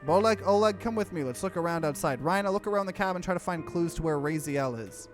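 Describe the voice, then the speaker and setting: A man's voice: high voice, quick pace, faint music behind, lightly acted. A man voicing a decisive, reassuring young woman who leads a team in a visual novel, firm and matter-of-fact. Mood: commanding. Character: steady, decisive female team leader, reassuring.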